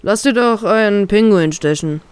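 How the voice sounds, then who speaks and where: A man voicing a calm, self-assured young pirate captain in a fan fiction reading. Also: high-pitched, moderate pace, clean tone, no room sound, close to an everyday voice. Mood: smug. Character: cool, cocky young male pirate captain.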